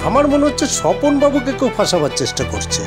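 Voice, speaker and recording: A man's voice: very high voice, very animated, quick pace, music in the background, pitched above the performer's own voice. A male narrator voicing a shrewd, impatient private detective with an emphatic, questioning manner in an audio story. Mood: probing.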